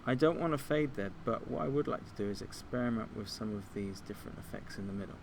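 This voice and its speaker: Man, fairly low pitch, informal tutorial narration, explanatory teacher, noisy recording. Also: fast, creaky. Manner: explanatory, step by step. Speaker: music technology teacher.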